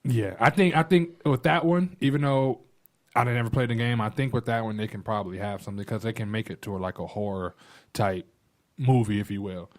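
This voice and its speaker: A man's voice: lowish voice, fairly quick. An American man chatting informally and giving his opinions as a panelist on a podcast. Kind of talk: casual podcast chat.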